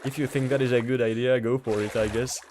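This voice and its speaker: A man's voice: mid-range voice, steady tone, fast talker, darkish tone, slight background noise. A man casually chatting with his viewers while streaming a game, laughing often and answering chat.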